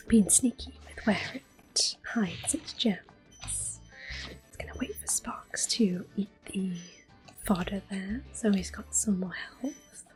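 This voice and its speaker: Slow, whispering woman doing ASMR commentary. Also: medium pitch, poor audio. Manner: soft-spoken, often whispering. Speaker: host of an ASMR gameplay series. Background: game music.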